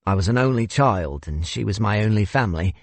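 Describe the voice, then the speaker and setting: A man voicing a courteous, wistful older gentleman with a South African accent and a theatrical, hesitant manner in an audiobook. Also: lowish voice, animated, fairly quick, no echo. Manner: theatrical, hesitant, old-fashioned politeness.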